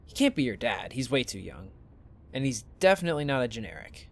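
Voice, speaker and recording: Awkward, sarcastic teen with animated pitch , acted. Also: quick pace, creaky, slight background noise. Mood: sardonic, suspicious. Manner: hesitant, grumbling, sardonic. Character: Wynn, awkward, sarcastic, suspicious teenage best friend. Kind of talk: naturalistic audio drama acting.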